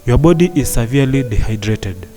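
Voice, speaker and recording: Man acting a mellow, patient hospital doctor. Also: mid-range voice, expressive, faint music behind, natural voice. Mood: concerned, reassuring. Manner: measured, reassuring. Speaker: actor in a radio drama. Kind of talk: radio drama acting.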